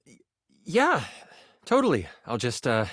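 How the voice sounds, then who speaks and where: An actor playing an eager, sheepish game master, narrating a fantasy adventure theatrically with awkward, casual asides. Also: male voice, medium pitch, wildly swooping pitch, a little slow, a bit bright, no room sound, clean studio sound, exaggerated voice.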